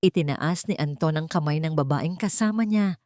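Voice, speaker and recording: Female narrator for dramatic audio fiction. Filipino accent, fairly low voice, very little room sound. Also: moderate pace, darkish tone, no room sound, clean studio sound. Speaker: storyteller, narrator. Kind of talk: dramatic scripted audio story narration in Tagalog.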